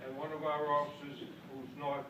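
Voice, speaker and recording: An older man with a Maine accent tells a story to a convention crowd in a folksy, self-deprecating way. His voice sits a little high. He is heard from a distance in an echoey hall, with loud noise underneath. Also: little pitch movement, moderate pace, highs cut off. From Boston. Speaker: speaker at a club convention. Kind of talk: after-dinner speech, storytelling. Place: large hall with an audience.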